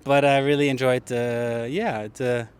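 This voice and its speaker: A man's voice: mid-range voice, flat delivery, measured pace, clean tone. A man hosting a casual interview podcast on an outdoor bench, hesitant and jokey, restarting sentences often. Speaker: podcast host. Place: public bench by a harbour outdoors.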